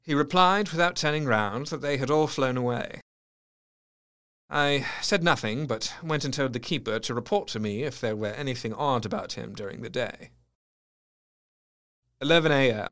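A man's voice: medium pitch, moderate pace, a bit bright, close to an everyday voice. A man acting as an intense, brooding Victorian doctor. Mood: grave, watchful. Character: earnest, lovesick Victorian asylum doctor recording his diary. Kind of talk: dramatic first-person diary narration.

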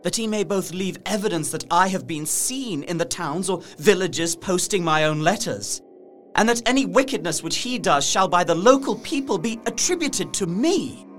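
A man's voice: sits high, lively intonation, moderate pace, a bit bright, soft background music. An actor playing a distressed young captive narrating his journal in an audio drama, earnest, intense and formal. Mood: indignant despair. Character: distressed young Englishman imprisoned in a castle, journaling.

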